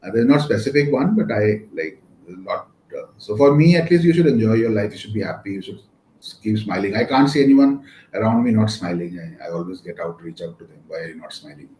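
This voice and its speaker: A man's voice: lowish voice, lively intonation, fairly quick, darkish tone. A man in his fifties, a native Maithili speaker with an Indian English accent, answering interview questions in a rambling way with many fillers. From India, West Bengal. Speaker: guest, real estate lawyer. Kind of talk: casual interview conversation. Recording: echoey room with faint noise.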